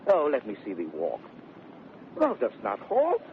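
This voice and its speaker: Swaggering suitor, vintage radio Shakespeare: lively mock-sweet declamation, faint noise on the recording. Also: male voice, on the low side, measured pace, heavily acted. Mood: exuberant, insistent. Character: brash, swaggering, teasing gentleman wooer. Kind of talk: 1930s radio Shakespeare acting. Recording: tinny, noisy 1930s radio recording.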